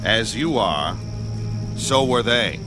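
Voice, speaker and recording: Slow, proud captive king. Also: on the low side, expressive. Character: proud, defiant captive male king of Midian. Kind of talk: dramatized scripture acting. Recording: loud background music.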